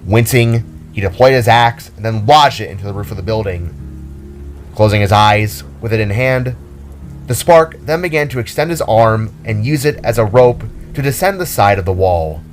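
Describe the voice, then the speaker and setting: A man's voice: fairly low pitch, expressive, normal speed, faint music behind. A man reading scripted narration for an audio drama, as the story's narrator.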